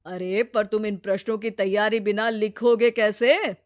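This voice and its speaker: A woman's voice: medium pitch, steady tone, measured pace, no room sound, clean studio sound, lightly acted. A woman playing a sensible, patient and mildly preachy adult brother-in-law in a children's moral story, speaking in an earnest, reasoning way. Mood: earnest, advising.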